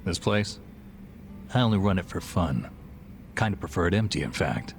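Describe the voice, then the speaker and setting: An actor playing a dry, laid-back, grumbling bar owner in a video game, weary and grudging. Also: male voice, sits low, sing-song, moderate pace, faint music behind. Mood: deadpan. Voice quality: gravelly. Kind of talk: dubbed video game cutscene acting.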